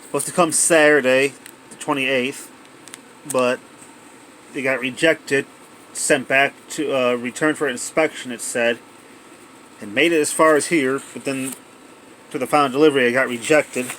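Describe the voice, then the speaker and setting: A man's voice: medium pitch, fairly even intonation, moderate pace, pauses often, fairly bright tone, a little hiss or hum, poor audio. A man talking casually and off the cuff as he narrates an unboxing video, recorded indoors at home on a handheld cell phone. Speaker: amateur unboxing video maker.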